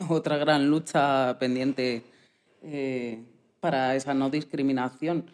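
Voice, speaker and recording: A radio interviewer speaks at a middle pitch. The delivery is conversational and earnest, moving between questions and reflective commentary. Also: steady tone, takes it slowly, a little mellow.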